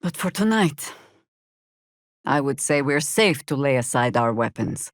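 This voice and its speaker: A wry, commanding veteran druid warrior, unhurried. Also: female voice, extremely low pitch, very animated, no echo, studio quality, exaggerated voice. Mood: solemn, warm. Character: seasoned, sardonic older female druid warrior. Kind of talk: fantasy video game voice acting.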